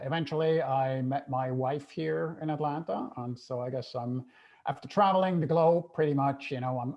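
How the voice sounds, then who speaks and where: A middle-aged man from Switzerland telling his life story in a relaxed interview, with many "uh" fillers. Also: mid-range voice, muffled recording. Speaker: guest, travel company co-founder. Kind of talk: casual interview chat.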